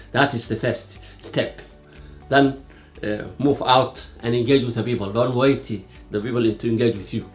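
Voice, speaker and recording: A man from Somalia, a community worker giving halting interview answers full of fillers, then firm advice. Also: mid-range voice, steady tone, faint music behind. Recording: echoey hall.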